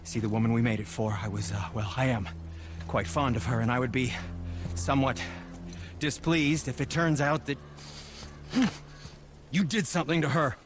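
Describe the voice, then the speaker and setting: A man's voice: medium pitch, moderate pace, pauses often, music in the background, natural voice. An actor playing a polite, proud but nervous dwarf craftsman in a video game, stammering and hesitant. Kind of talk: dramatic video game acting.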